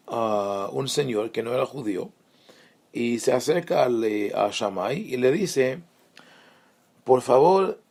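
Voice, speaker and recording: A man's voice: mid-range voice, creaky. A man from Israel with an Israeli accent, a rabbi teaching an online lesson with many fillers and restarts. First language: Hebrew.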